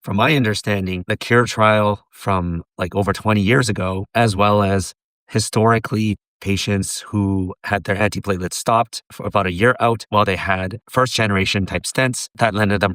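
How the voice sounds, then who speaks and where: A man with a hoarse voice from laryngitis, giving a teacherly tutorial and posing questions for the viewer to pause on. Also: sits low, a bit bright, no echo. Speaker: pharmacist and educator. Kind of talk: tutorial lecture.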